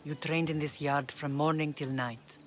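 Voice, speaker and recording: A woman's voice: low-pitched, fairly even intonation, normal speed, natural voice. An actress playing a middle-aged Spartan mother in a video game, dignified and resolute with a tender side. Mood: tender, wistful. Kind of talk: dramatic video game cutscene acting.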